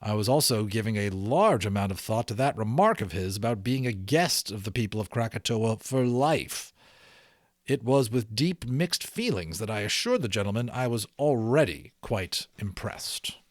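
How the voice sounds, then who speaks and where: A man narrating a storybook aloud in an expressive, explanatory way, as an audiobook reader. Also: fairly low pitch, normal speed, no room sound, studio quality.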